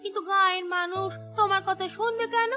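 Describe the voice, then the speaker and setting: A woman voicing a gossipy, fawning village follower in a cartoon, with an animated, tattling manner. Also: extremely high pitch, fast, faint music behind. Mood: questioning. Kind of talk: Bengali cartoon dialogue.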